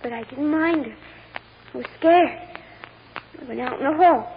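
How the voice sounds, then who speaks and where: A woman's voice: high voice, moderate pace. A woman playing a timid, innocent little girl with simple, childlike phrasing in an old radio courtroom drama. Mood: hesitant, anxious. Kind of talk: 1940s radio drama acting. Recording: old radio recording, narrow band, light noise.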